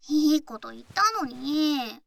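A woman playing an affectionate, teasing young athlete girlfriend in an intimate ASMR voice drama, speaking softly and often whispering close to the mic. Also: high-pitched, creaky, dry recording, full character voice. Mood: playful pouting. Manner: soft, slow, often whispered, gently teasing. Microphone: close-up studio mic, dry.

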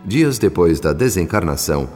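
An actor playing a solemn spirit narrator in a radio drama, recounting events in measured, literary, reverent phrasing. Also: male voice, low-pitched, big pitch swings, darkish tone. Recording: long reverb. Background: background music.

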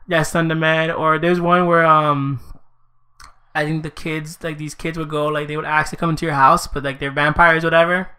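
A man co-hosting a podcast, chatting casually and excitedly, saying "bro" and "dude". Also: on the high side, normal speed, clear, resonant tone. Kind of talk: casual chat.

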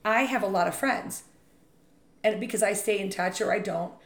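A woman with a slightly raspy voice talks in a relaxed podcast conversation. She is chatty and enthusiastic, and she speaks as a leader in real estate. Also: medium pitch, moderate pace.

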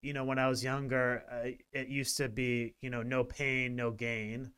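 A man's voice: medium pitch, normal speed, clear, resonant tone. A man co-hosting a wellness podcast, talking casually with lots of fillers and restarts. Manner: relaxed, rambling with many fillers. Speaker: podcast co-host. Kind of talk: casual chat.

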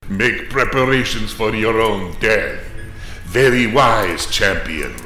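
An actor playing a grandiose, menacing challenger with formal, archaic, theatrical speech in a fantasy audio drama. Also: male voice, lowish voice, takes it slowly, bright, forceful tone.

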